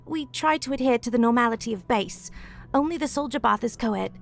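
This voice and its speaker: Woman playing courteous fantasy bathhouse attendant, audiobook dialogue, highish pitch, gravelly edge. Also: a little fast. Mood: polite, matter-of-fact. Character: polite, patient female bathhouse attendant in fantasy town. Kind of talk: scripted audiobook character dialogue.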